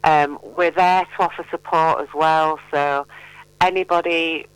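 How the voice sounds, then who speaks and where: Middle-aged female charity spokesperson for radio interview; clear, resonant, playful and encouraging, close dry sound. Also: low-pitched, fairly even intonation, unhurried, no echo, low-quality recording. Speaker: mental health charity representative, radio guest.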